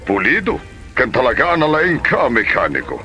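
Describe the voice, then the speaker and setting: A man playing a middle-aged company boss and protective, probing father in a comedy drama, speaking with deliberate authority. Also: mid-range voice, huge pitch swings, bright, forceful tone, soft background music. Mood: probing, serious. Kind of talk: Ilocano comedy drama acting.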